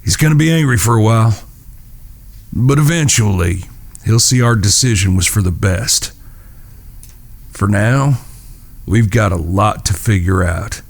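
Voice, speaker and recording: Serious protective cowboy, earnest, audio drama. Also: male voice, on the low side, measured pace, long pauses. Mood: resigned, gentle. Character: grave, protective cowboy outlaw, eldest-brother type. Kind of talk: western audio drama acting.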